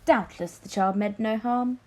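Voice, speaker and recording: A woman voicing a complacent, easily flattered male king in a storybook, speaking in a regal manner. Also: fairly high pitch, measured pace. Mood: unbothered. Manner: regal, mildly incredulous. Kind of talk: children's storybook character voice.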